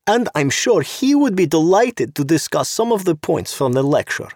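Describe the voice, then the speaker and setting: A man's voice: on the high side, sing-song, moderate pace, darkish tone, no room sound, studio quality, pitched above the performer's own voice. A man doing a cold, sneering woman. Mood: mocking. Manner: mocking, condescending.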